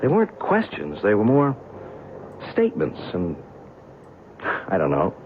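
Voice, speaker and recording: A man's voice: lowish voice, huge pitch swings, unhurried. An actor playing a brash, skeptical American newspaperman in an old-time radio drama, casual and wisecracking. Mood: probing. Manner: breezy, colloquial, incredulous. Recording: tinny, noisy old radio recording.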